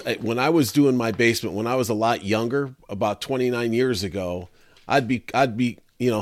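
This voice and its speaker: A man's voice: mid-range voice, no echo. Conversational male host, dry sound. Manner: conversational, addresses listeners directly. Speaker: interview host. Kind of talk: casual interview chat.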